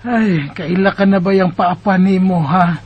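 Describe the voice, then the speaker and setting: A woman's voice: on the low side, measured pace, breathy edge, muffled recording. A woman with a Bisaya accent playing a homely, chiding middle-aged mother in a radio drama. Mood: soothing, dismissive. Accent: Filipino Bisaya.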